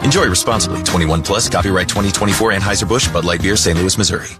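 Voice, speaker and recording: A man's voice: deep voice, fast talker. A man reading a scripted radio commercial as an announcer over music. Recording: loud music under the voice.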